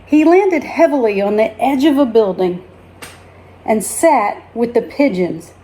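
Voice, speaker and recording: A woman reading a children's story aloud as a teacher, in a deliberate, expressive storytelling manner. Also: a little high, slight background noise.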